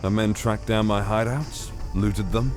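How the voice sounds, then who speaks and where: An actor in an audio drama playing a suave, cheeky master thief with flowery, mock-courteous manners. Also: male voice, on the low side, steady tone, unhurried, a little mellow, faint music behind, natural voice. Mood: wry, evasive.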